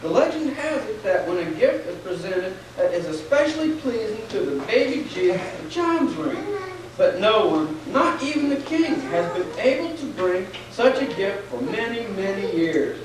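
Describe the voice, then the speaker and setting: A performer playing a kindly, devout old grandfather in a puppet show, telling things earnestly and gently. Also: mid-range voice, sing-song, moderate pace, lightly acted. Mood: earnest, wistful. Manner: earnest storytelling, gently reassuring. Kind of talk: stage puppet show dialogue. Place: church, recorded from afar. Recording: very echoey, distant, noisy 1990s church recording.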